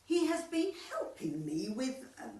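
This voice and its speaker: A woman's voice: high voice, huge pitch swings, measured pace, warm tone, far from the mic, exaggerated voice. A woman playing a sweet, scatty elderly widow in an amateur radio comedy, with a fussy, rambling manner. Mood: flustered, earnest. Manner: fussy, flustered, innocently rambling. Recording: echoey room, off the mic.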